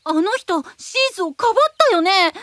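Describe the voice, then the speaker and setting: Very high childlike boy voice, timid, sweet, animated, hesitant, anime audio drama. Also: a little fast, dry recording. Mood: concerned, curious. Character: timid, sweet, childlike young boy knight, eager to belong. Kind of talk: anime-style voice drama acting.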